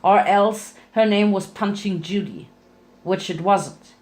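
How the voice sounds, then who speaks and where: A woman's voice: medium pitch, takes it slowly, a little mellow. A woman reads a story aloud as narrator, in a mid-range voice. Her delivery is dramatic and playful, with a theatrical touch suited to fan fiction.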